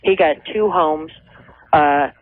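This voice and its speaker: A woman's voice: low-pitched, slow, no echo. An American woman in her thirties calling a radio advice show, telling her personal account in an earnest, hesitant, conversational way. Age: 30s. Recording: tinny phone line on old radio tape.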